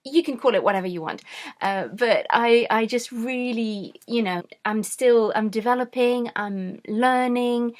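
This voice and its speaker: A middle-aged woman from France, born to British parents, speaking casually and a little haltingly as a craft vlog host. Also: fairly high pitch. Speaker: host, cross-stitch designer.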